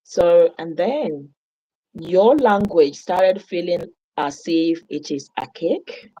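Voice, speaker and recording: A Yoruba-speaking woman with a Nigerian accent answers interview questions in a calm, reflective way. Her voice sits low and she speaks slowly. The audio comes through slightly dull. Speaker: guest.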